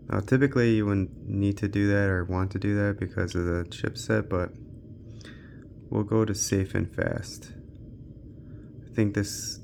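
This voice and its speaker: A man's voice: low voice, creaky, a little mellow, slight background noise. A man giving casual, unscripted gaming commentary as a channel host, thinking aloud in a laid-back way.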